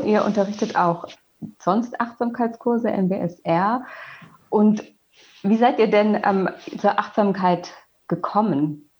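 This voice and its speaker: A woman hosting a podcast on mindfulness, welcoming guests and asking calm, measured questions. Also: medium pitch, highs cut off. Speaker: podcast host.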